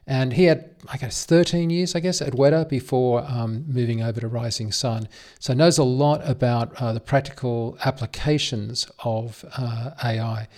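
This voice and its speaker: A man's voice: medium pitch, steady tone, moderate pace, darkish tone. A man with an Australian accent, hosting a podcast and casually introducing a recorded panel, with frequent fillers. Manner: hesitant, many fillers. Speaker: podcast host.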